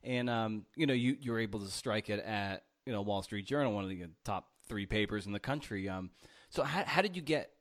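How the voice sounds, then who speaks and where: A man hosting a casual interview, feeling his way haltingly through his questions. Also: on the low side. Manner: halting, rephrasing questions as he goes. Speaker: podcast host, interviewer.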